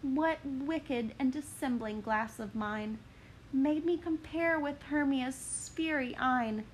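A woman's voice: sits high, slow pace, a little hiss or hum, low-quality recording. A woman voicing a desperate, lovesick young woman, pleading urgently in dramatic verse.

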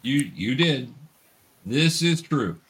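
Male host and game master, mid-pitched voice, casual and patient explainer with halting delivery. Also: lively intonation, a bit bright, husky. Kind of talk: casual explaining while running a tabletop game. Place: remote video call.

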